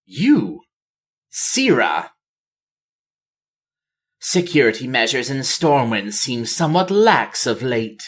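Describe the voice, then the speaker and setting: A man voicing a cold, proud, quietly vengeful elven queen in a storybook reading, solemn and murmuring. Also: mid-range voice, huge pitch swings, takes it slowly, pauses often. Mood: cold, restrained. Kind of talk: dramatic storybook character voice.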